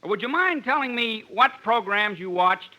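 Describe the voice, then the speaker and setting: A man's voice: sits high, expressive, normal speed, pushed and bright, full character voice, poor audio. An actor playing a polite, nosy man making survey calls in an old-time radio comedy. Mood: polite, inquiring. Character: polite, nosy, oddball male telephone survey caller.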